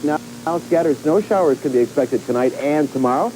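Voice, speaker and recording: A man's voice: on the high side, normal speed, dark tone. A man presenting a scripted local television forecast and programme promo. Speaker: TV news presenter. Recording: noisy archive television broadcast.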